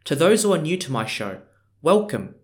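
A man's voice: on the high side, very animated, moderate pace. A man hosts a history podcast, reading a script earnestly and spelling out foreign names letter by letter. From Australia. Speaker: podcast host.

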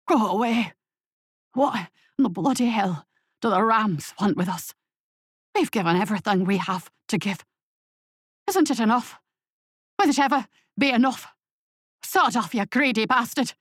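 A woman voicing a starving old male farmer with a ragged, wheezing voice, shouting in anger and desperation. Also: lowish voice, moderate pace, breathy edge, no echo, clean studio sound. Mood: furious.

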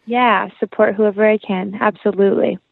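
A woman talks as a guest in a conversational interview, earnest and encouraging. Her voice sits at a medium pitch. It comes through a narrow, phone-like connection with no room sound. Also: fairly even intonation, moderate pace, vocal fry, no echo.

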